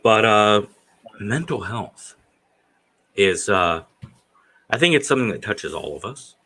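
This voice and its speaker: A man's voice: fairly low pitch, sing-song, measured pace, sharp tone. A man in his forties hosting a casual live stream, rambling and hesitant, with many fillers. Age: 40s. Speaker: stream host.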